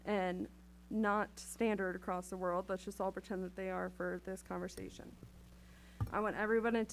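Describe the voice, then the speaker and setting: A woman on a city council giving a prepared, earnest speech, speaking directly and deliberately to the room. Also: medium pitch, rough recording. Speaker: council member.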